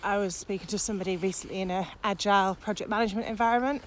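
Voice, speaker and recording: A woman's voice: mid-range voice, fairly even intonation, rapid-fire. A British woman co-hosting a podcast in casual conversation, recorded outdoors while walking. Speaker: podcast co-host, corporate trainer. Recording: outdoor recording with clear traffic noise.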